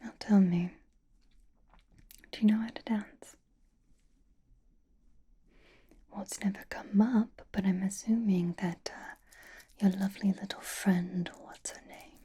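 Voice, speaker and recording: A woman voicing an elegant, flirtatious vampire in an ASMR roleplay, coaxing intimately with fond exasperation in a breathy, halting whisper. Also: mid-range voice, steady tone, warm tone. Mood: sly, musing. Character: elegant female vampire, flirtatious, patient, fondly exasperated gala companion.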